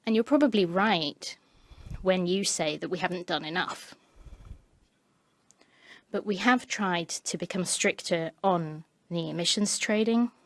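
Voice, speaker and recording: Steady woman interpreter, dry close sound. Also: fairly low pitch, no echo. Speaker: parliamentary interpreter. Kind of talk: simultaneous interpretation of a committee speech.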